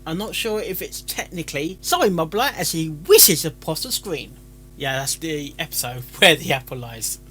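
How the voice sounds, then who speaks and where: A man with a high, forceful voice hosts a casual reaction. His delivery swings up and down in animated fashion, full of exclamations and questions, with music playing behind him. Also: moderate pace. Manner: excitable, interjects with exclamations and questions. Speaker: reaction video host.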